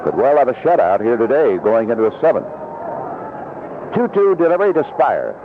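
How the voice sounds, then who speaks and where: Vintage radio baseball caller: man, animated intonation, descriptive clipped calls, clearly noisy background. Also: mid-range voice, a little fast. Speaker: baseball play-by-play announcer. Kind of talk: live radio sports commentary. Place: broadcast position at an outdoor ballpark. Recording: narrow-band vintage radio broadcast, noisy.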